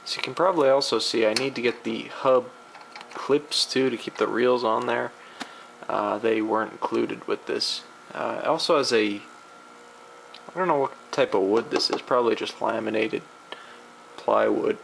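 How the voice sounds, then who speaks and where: A man giving a casual, unscripted demonstration of vintage audio gear, with a gravelly voice and a rambling, halting way of explaining. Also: medium pitch, steady tone, a bit bright, poor audio. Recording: amateur camera recording with background noise.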